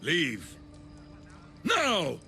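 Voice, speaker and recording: A slow, gravelly, menacing cultist. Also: male voice, on the high side, wildly swooping pitch, sharp tone, full character voice. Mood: cold, commanding. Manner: slow, contemptuous, commanding. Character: menacing, contemptuous, commanding male cultist. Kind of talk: dramatic video game acting.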